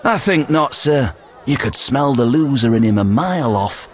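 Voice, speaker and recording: An actor playing a smooth-talking dice gambler in a fantasy video game, polite, flowery and insinuating. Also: male voice, mid-range voice, animated, normal speed, some chatter behind, natural voice. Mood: sly. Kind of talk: video game dialogue acting.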